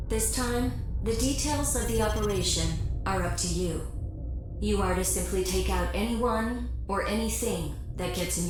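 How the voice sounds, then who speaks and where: A woman's voice: medium pitch, lightly acted. Woman playing a cool businesslike mission briefer in a game, clipped and official, tons of echo, music behind. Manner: formal, clipped, impersonal. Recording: heavily echoing transmission under music.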